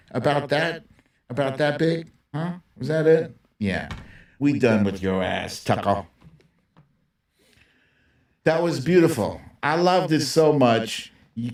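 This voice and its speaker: A man hosting a live political stream in a casual, slangy, mocking and profane way. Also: medium pitch. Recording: roomy echo, distant from the mic.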